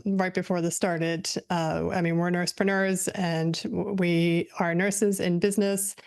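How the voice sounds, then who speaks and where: Lady hosting an interview show, lower voice, casual, kinda rambly. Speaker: podcast host, nurse entrepreneur.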